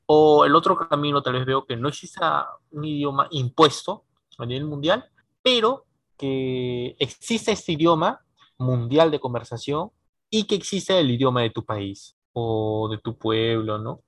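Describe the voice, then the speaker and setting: A man in a casual conversation, musing aloud in a lively way and laughing now and then. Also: medium pitch, unhurried, no echo.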